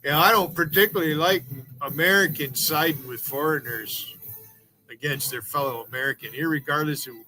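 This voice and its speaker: A man's voice: a little high, normal speed, pushed and bright, faint music behind, low-quality recording. A man hosting a livestream, rambling in a profane, combative, off-the-cuff rant. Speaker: livestream host. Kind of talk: profane ranting chat.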